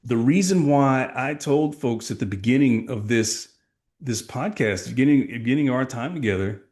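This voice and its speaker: A man's voice: medium pitch, moderate pace, darkish tone. A middle-aged man from Texas, a sales coach giving emphatic, motivational answers in a podcast interview. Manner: emphatic, motivational, asks rhetorical questions.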